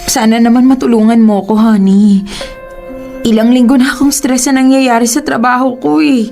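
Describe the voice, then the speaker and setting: Emotional young filipina girlfriend, radio drama, really high voice, reproachful, soft music under it. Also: fairly even intonation, moderate pace, gravelly. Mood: pleading, stressed. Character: hurt, indignant young girlfriend pleading with her boyfriend.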